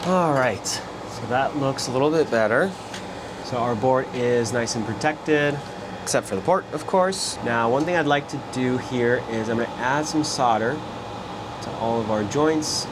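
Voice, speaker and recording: A man's voice: mid-range voice, unhurried. A man giving a casual step-by-step narration as a repair technician, talking through his actions as he works. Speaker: electronics repair technician presenter. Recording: steady fan-like background noise.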